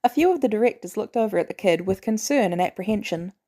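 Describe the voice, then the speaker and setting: Female audiobook-style narrator for fan fiction, mid-pitched and highly animated in delivery. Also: quick pace. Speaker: podfic narrator. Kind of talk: expressive scripted story narration.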